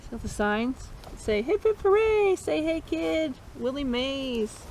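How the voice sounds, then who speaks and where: Woman, high voice, interactive picture-book read-aloud for kids, encouraging; slightly noisy recording. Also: animated, unhurried, darkish tone. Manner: encouraging, chanting refrains for listeners to repeat. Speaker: storytime host reading a picture book to children.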